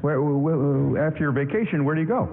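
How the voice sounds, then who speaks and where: Casting: a self-doubting young ex-soldier, halting and earnest, 1940s radio play, thin AM sound in a reverberant hall. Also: male voice, on the high side, vocal fry. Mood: earnest, tender. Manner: hesitant, stammering, repeating words. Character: troubled young war veteran, former athlete, earnest and self-doubting. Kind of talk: 1940s radio drama acting, staged live. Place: stage of a town hall, live broadcast. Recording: phone-like AM radio aircheck, echoing hall, music.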